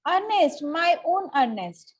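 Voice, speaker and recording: A woman's voice: extremely high pitch, very animated, takes it slowly, exaggerated voice. A woman acting a haughty, indignant young Victorian lady of fashion, formal and precise, in an amateur table read of a stage comedy. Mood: possessive, cool.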